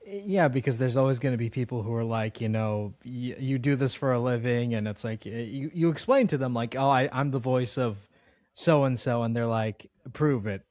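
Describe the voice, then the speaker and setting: A man's voice: medium pitch, moderate pace, a little mellow, dry recording, studio quality. A man hosting a casual interview, speaking in a tentative, conversational way. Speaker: interviewer, host.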